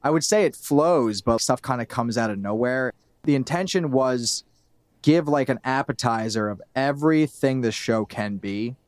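A man talks casually as an interviewed podcast creator, at a medium pitch. His delivery is informal and rambling, and the sound is dry. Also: normal speed, no room sound.

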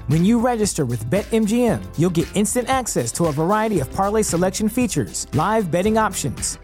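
A woman announcer reading a scripted sportsbook ad in an upbeat, smooth way, then rushing through legal disclaimers. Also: mid-range voice, darkish tone.

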